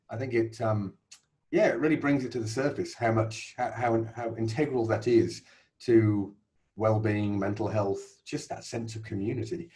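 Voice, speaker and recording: Male podcast host, slightly low voice, easygoing interviewer. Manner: relaxed, chatty, friendly questions. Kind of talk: casual interview hosting.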